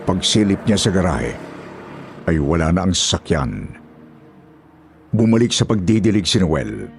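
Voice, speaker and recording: Low-pitched male horror story narrator. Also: big pitch swings, measured pace, creaky, soft background music.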